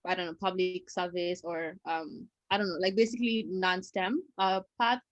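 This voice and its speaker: A woman's voice: on the low side, steady tone, normal speed, no echo, dull audio. A woman giving career advice in casual panel chat, hesitantly circling toward her point.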